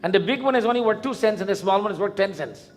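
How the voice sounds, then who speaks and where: High-voiced Guyanese man preaching, music behind. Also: lively intonation. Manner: didactic, questioning, deliberate repetition. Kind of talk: interactive sermon. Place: live event in a temple before a congregation.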